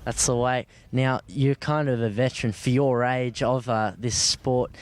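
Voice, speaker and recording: Young man, Australian accent, mid-pitched voice, local TV sports reporter, interview style. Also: fairly even intonation, measured pace, a little hiss or hum.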